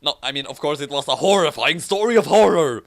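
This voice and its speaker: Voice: mid-range voice, animated, fairly quick. A person reading fan fiction aloud and commenting on it in a theatrical, mock-serious way. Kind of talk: dramatic amateur reading with casual commentary.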